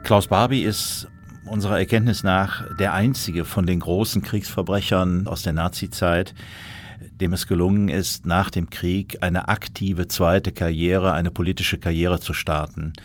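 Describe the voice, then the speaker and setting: A man's voice: low-pitched, steady tone, unhurried, a little hiss or hum. A male journalist giving factual, explanatory documentary commentary.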